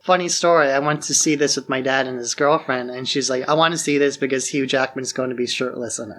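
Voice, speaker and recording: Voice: medium pitch, steady tone, a little fast, fairly bright tone. A podcast co-host chatting casually about films in an informal discussion.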